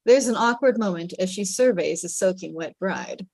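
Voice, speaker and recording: A woman's voice: fairly low pitch, animated, normal speed, gravelly, darkish tone, no echo. A woman reading scripted stage directions as narration at a table read.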